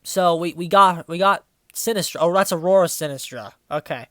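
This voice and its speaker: Sarcastic young reader doing dramatic read-aloud, swears in the asides, medium pitch, dry sound. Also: normal speed, bright, forceful tone, dry recording. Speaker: reviewer reading fan fiction aloud. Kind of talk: dramatic read-aloud with mocking casual commentary.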